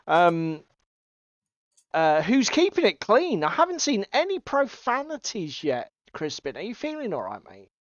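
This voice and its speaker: A man's voice: sits high, huge pitch swings, measured pace, no room sound, dull audio. A middle-aged man with an English East Midlands accent hosting a casual livestream, bantering with chat in a sweary, jokey, mock-exasperated way. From East Midlands, England. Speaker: livestream host. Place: small indoor room at home.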